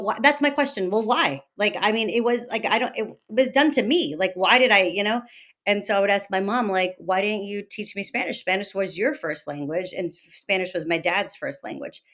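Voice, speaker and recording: A woman in her early fifties from Texas talking conversationally as a podcast guest, emphatic and often halting to correct herself. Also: mid-range voice, fairly bright tone. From Texas, United States. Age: early 50s. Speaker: podcast guest, author and meditation teacher. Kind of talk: conversational interview, personal storytelling.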